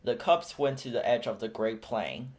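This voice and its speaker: A man narrating a children's picture book aloud in a steady, clear storytelling manner. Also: medium pitch, moderate pace. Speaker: storybook reader.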